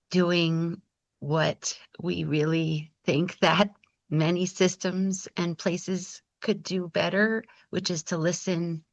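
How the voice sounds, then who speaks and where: Woman leader, deep, flat, gravelly, dry close sound, deliberate and earnest. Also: dry recording. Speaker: program leader.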